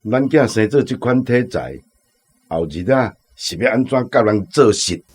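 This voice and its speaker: Husky fretful countryside dad, radio play, slightly breathy. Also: male voice, mid-range voice, animated, moderate pace, darkish tone. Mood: worried. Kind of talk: radio drama acting.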